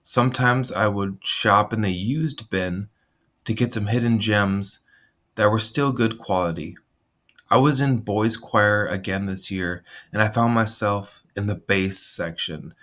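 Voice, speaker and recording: A man in his thirties from Minnesota, reading his own life story aloud at a measured pace and clearing his throat now and then. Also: on the low side, a bit bright. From Minnesota, USA. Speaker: memoir narrator. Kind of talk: reading a personal memoir aloud.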